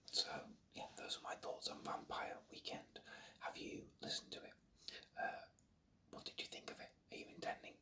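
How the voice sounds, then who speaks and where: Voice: sharp tone, far from the mic. A reviewer whispering an ASMR video review in a hesitant, rambling, stop-start way.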